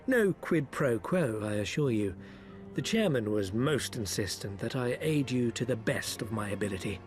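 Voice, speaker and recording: A man in a game cutscene giving a formal, measured briefing. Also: mid-range voice, expressive, soft background music, close to an everyday voice. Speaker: informant briefing the heroes. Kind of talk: video game cutscene acting.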